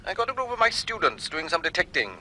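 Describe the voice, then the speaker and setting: Bright, animated academic man. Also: sits high, quick pace, slight background noise, exaggerated voice, poor audio. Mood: exasperated. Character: excitable, blustering older Welsh male university lecturer. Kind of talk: radio drama dialogue.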